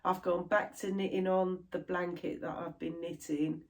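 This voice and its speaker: A middle-aged British woman chatting casually to camera about her crafts, sounding stuffy and a little croaky from a chest infection. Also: lowish voice, little pitch movement, normal speed. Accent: British English. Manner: chatty, rambling, laughs easily. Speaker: cross stitch vlogger.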